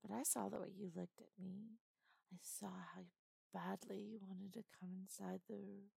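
A woman voicing a flirtatious British woman who teases and seduces a coworker, in a put-on British accent and a sultry manner with soft whispers. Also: medium pitch, slow, vocal fry, no echo, exaggerated voice. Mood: whispering. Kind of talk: audio roleplay.

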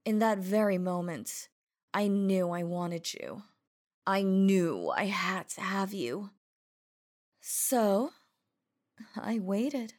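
An obsessive female demon acted by a woman who speaks slowly and deliberately, letting each word linger. Her voice is sultry and coaxing, sitting in an ordinary middle register, yet it carries a cold, controlling menace in an audio roleplay. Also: medium pitch, expressive, darkish tone. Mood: seductive. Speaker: voice actor.

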